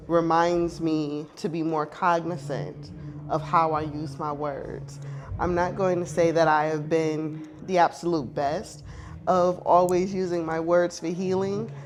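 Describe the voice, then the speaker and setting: A poet answers an interview question with slow, deliberate speech. The delivery is measured and thoughtful, with a middle pitch. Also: slight background noise.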